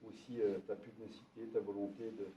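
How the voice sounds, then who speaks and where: Brief: male mayor, warm-toned, halting, recorded from afar in a roomy hall, muffled. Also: on the low side, level tone, slight background noise. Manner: hesitant, repeats words, jovial. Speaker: mayor hosting a town meeting. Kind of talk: speech introducing volunteers. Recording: echoey room, distant from mic, dull sound.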